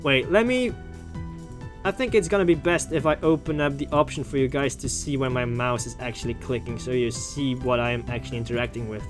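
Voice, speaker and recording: A man giving casual, jokey, expressive let's-play commentary on a video game. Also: mid-range voice, brisk. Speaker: gaming video host. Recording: game music behind the voice. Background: game music.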